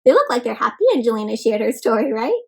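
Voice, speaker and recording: A woman with a high voice reads a picture book aloud to young children. She is expressive and encouraging, pausing to put questions to her small listeners and talk directly to them. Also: creaky, breathy edge. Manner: addresses listeners as friends. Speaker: children's read-aloud host. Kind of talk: interactive storybook read-aloud for young children.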